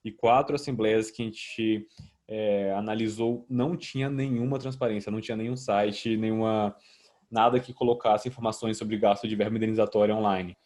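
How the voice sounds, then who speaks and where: A man's voice: lowish voice, steady tone, normal speed, a little mellow. A young man with a Brazilian accent presenting a student project in a didactic, conversational but semi-formal way.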